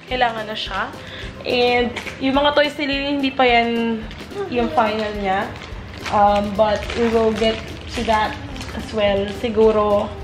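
A woman's voice: fairly high pitch, low-quality recording. A young woman vlogging at home, chatting in English and Tagalog while filming her toddler and cooking, laughing often. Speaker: family vlogger. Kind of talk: casual home vlog. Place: apartment kitchen and living room.

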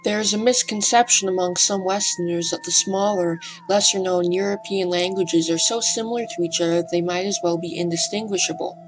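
A woman reading scripted documentary narration in a careful, slightly hesitant and breathy way. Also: fairly low pitch, rough recording. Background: music.

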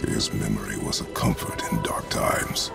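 An actor playing a grim, stoic Spartan warrior father in a video game, speaking tersely and solemnly in formal, measured words. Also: level tone, heavily acted. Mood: solemn, remembering. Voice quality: deep, gravelly. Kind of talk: dramatic video game acting. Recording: loud music behind the voice.

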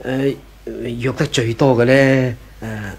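A man's voice: medium pitch, steady tone, takes it slowly, gravelly, husky, full character voice. An actor playing a hesitant, gossiping employee in a 1980s Hong Kong Cantonese radio drama, stammering and sighing as he feigns reluctance. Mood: insinuating.

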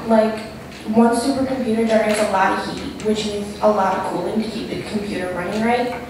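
A woman's voice: mid-range voice, fairly even intonation, fairly quick. A teenage American woman delivers a prepared student talk. The hall echoes strongly around her voice, which is captured from far off, with noticeable noise. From New York State, United States. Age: teens, high school student. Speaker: student presenter. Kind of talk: prepared presentation. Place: large auditorium stage, far camera. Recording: echoey auditorium, distant mic, noisy.

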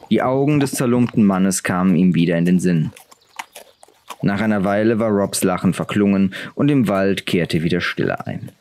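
A man's voice: lowish voice, a little mellow, a little hiss or hum. A man reading a scripted fantasy story aloud as an audiobook narrator.

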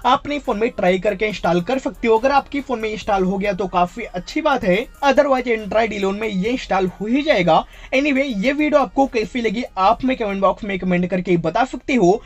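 A man's voice: sits high, moderate pace, highs cut off. A man hosting a tech channel, giving a casual tutorial voice-over and mixing English phrases into his speech.

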